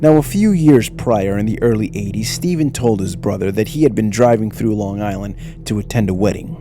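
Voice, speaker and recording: Low-voiced man narrating over music. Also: a little fast. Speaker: narrator of a mystery documentary. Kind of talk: scripted narration.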